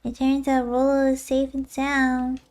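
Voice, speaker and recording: A woman plays an earnest young boy from a story game, high in pitch and soft-edged in tone. He talks slowly and hesitantly, with a gentle, anxious air. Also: completely flat delivery, a little hiss or hum. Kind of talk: video game character dialogue read aloud.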